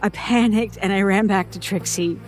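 An actress playing a frightened woman haltingly recounting a childhood horror in an audio horror drama, sounding haunted. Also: mid-range voice, lightly acted. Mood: uneasy, haunted. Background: music.

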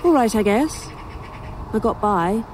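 A woman's voice: a little high, animated, measured pace, darkish tone. A woman playing a weary, sardonic young writer in an audio drama, with a dry manner. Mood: wry, resigned. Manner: dry, sarcastic, hesitant. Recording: faintly noisy outdoor sound bed. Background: crows cawing, outdoor ambience.

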